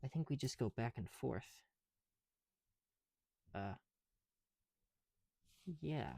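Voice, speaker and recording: Male ASMR creator, mellow and relaxed, talking to himself with lively pitch movement, close-miked. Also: extremely slow, vocal fry, breathy edge, dry recording. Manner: talking to self, musing aloud. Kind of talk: ASMR, casual muttered commentary while building.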